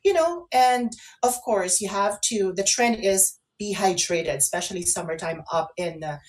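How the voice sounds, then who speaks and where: A woman's voice: mid-range voice, normal speed. A woman co-hosting a casual online talk show, explaining things and giving advice. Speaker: co-host and makeup artist.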